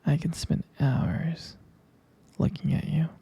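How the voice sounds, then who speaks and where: A man murmurs an intimate ASMR roleplay in a very husky, breathy whisper. His delivery is slow and halting, with a gravelly crackle running through it. He sounds tender and adoring, like a romantic partner speaking privately. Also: fairly high pitch, fairly even intonation, dark tone.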